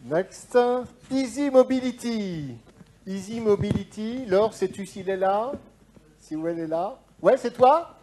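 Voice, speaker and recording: A man hosting a live startup pitch event, brisk and upbeat, calling people on stage. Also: expressive, noisy background. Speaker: event host.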